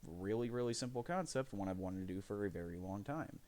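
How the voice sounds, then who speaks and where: Raspy man rambling on a home stream. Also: lowish voice, lively intonation, brisk, a little mellow. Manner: meandering, hesitant, many restarts and fillers. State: raspy from phlegm. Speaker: live streamer. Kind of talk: rambling casual chat. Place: desk corner of a home living room.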